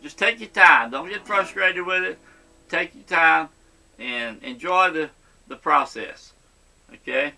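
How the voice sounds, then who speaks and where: A man's voice: fairly high pitch, takes it slowly, gravelly, pushed and bright, low-quality recording. A man giving a step-by-step guitar lesson in an encouraging, reassuring way, often checking in as he goes. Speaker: guitar teacher.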